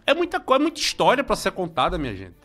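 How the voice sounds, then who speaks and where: A high-voiced man in his forties from Brazil talks in a loose, rambling way, repeating words and stopping to greet friends. His talk is nostalgic and chatty. Soft music plays faintly behind him. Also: huge pitch swings, quick pace. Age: 40s. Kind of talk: casual local commentary.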